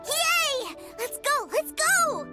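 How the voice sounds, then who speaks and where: A woman's voice: very high voice, very animated, very slow, heavily acted. A woman voicing an eager, innocent little boy in a video game, with a piping childlike voice. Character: eager innocent little boy who adores his brother. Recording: faint game music behind.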